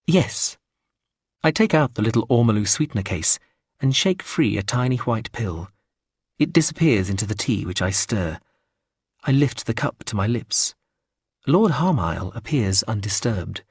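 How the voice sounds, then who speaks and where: A man narrating a first-person story aloud in a measured, wry way. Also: fairly low pitch, sing-song, slightly rough, no echo, studio quality.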